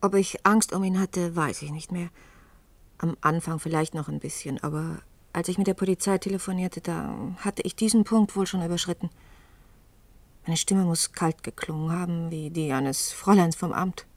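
A woman's voice: lowish voice, moderate pace, no room sound. An anxious woman in a radio drama, caught very close and dry. She speaks intimately and with strain, as someone living through a search for a man she cares about. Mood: subdued, tense recollection. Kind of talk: German radio play acting and narration.

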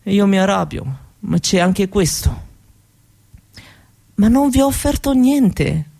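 A woman's voice: mid-range voice, sing-song, slow pace, vocal fry, warm tone, slightly rough, exaggerated voice. An actress playing a proud Roma mother from Romania in a conversational stage monologue, with an Eastern European accent and broken grammar. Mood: earnest, hospitable. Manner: broken foreign-speaker grammar, conversational, rhetorical tags. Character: proud, cheerful Roma woman from Romania, mother, chatting hospitably. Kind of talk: theatrical monologue drawn from interviews.

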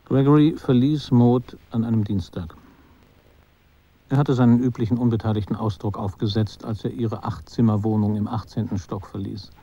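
A man performing a detached, ironic literary narrator in a radio drama, with measured, deliberate phrasing. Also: lowish voice, steady tone, mellow, husky, lo-fi sound.

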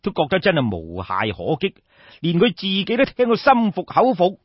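A male storyteller with a Cantonese accent narrates dramatically, his pitch rising and falling widely. His voice is husky and fairly high, and the recording is echoey and a little dull. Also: huge pitch swings, normal speed. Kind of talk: dramatic traditional audiobook storytelling. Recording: echoey room, slightly dull sound.

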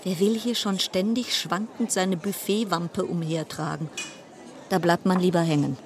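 A woman playing a wry, chatty cruise passenger travelling alone, musing with dramatic pauses in a radio play monologue. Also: fairly low pitch, steady tone, noisy background, lightly acted. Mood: wry, exasperated.

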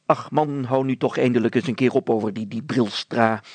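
A man's voice: mid-range voice, steady tone, normal speed, a little mellow, slightly rough, no room sound, natural voice. A man voicing a fussy, officious village mayor in a story, blustering and easily exasperated. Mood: dismissive.

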